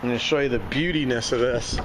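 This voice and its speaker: A hoarse man giving a casual, folksy walkthrough of his garage door repair work. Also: medium pitch, fast talker, noisy background. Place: underground parking garage. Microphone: handheld phone camera.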